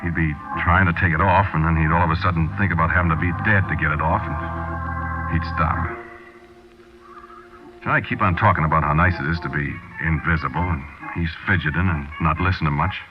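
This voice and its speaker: An actor playing a hard-boiled American small-time crook, narrating colloquially and confidingly in a 1940s radio drama. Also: male voice, a bass voice, fairly even intonation, a little fast, music in the background. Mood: brooding, uneasy. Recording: dull 1940s radio broadcast recording.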